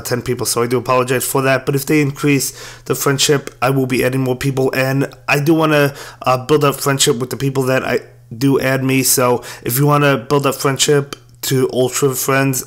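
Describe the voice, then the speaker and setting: Hoarse man narrating his matches. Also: medium pitch. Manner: apologetic, casual play-by-play. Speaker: game commentator. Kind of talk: gameplay commentary.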